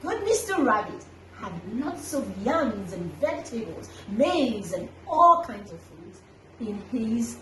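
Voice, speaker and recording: A woman hosting a children's story time, telling an animal tale with dramatic, deliberate emphasis. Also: on the high side, sing-song, fairly bright tone. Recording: echoey room, distant mic, faint noise.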